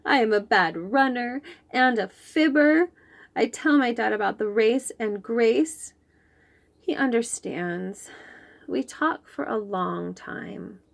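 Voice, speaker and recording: A woman reading a children's picture book aloud in an expressive, animated storytelling manner. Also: a little high, slow pace, creaky.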